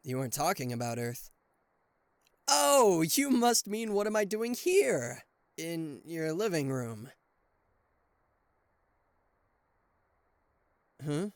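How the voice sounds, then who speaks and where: A man playing a cocky, playful and easily flustered king of hell as an affectionate boyfriend in an ASMR roleplay, with theatrical teasing pauses and stammers. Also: a little high, very animated, no echo. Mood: teasing, coy. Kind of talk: ASMR roleplay addressed to the listener.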